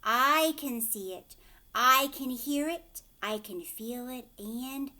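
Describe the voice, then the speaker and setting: A big storybook lion, played by a woman reading aloud, speaks very slowly and savors each emphatic phrase. The words are stretched out with relish. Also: a little high, sharp tone. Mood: savoring. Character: big male lion speaking in a deep purr.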